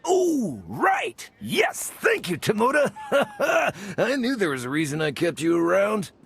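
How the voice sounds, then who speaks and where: Wildly swooping, vain scoundrel. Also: male voice, high voice, huge pitch swings, creaky, fairly bright tone, husky, exaggerated voice. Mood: relieved, eager. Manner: pompous, flustered, dramatic pauses. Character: vain, bumbling, theatrical male scoundrel gang leader. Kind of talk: video game character acting.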